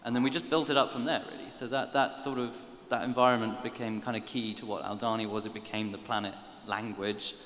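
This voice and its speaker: A man's voice: on the low side, fairly even intonation, brisk, few pauses, fairly bright tone. Thoughtful man in an echoing hall. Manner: thoughtful, meandering. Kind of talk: panel discussion. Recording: echoey hall, faint hum.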